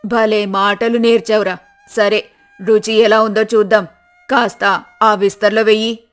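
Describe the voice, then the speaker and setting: A woman's voice: medium pitch, flat delivery, measured pace, soft background music, lightly acted. A woman voicing a village official's wife in a folk tale, a teasing and bossy customer. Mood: amused. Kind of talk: dramatized folk tale acting.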